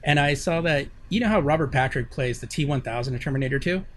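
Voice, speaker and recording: A man's voice: fairly high pitch, fast. A man co-hosting a casual podcast, chatting loosely with restarts and frequent laughs. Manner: laughs often, rambling with restarts. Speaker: podcast co-host. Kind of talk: casual chat. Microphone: computer mic on a call.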